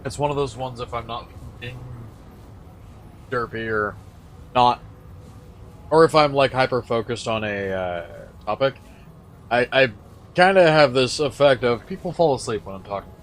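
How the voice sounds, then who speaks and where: A man casually commenting while playing a game with a friend, with a calm, lecture-like voice and offhand swearing. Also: mid-range voice, normal speed, pauses often. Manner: laid-back, musing, swears casually. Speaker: gaming channel host.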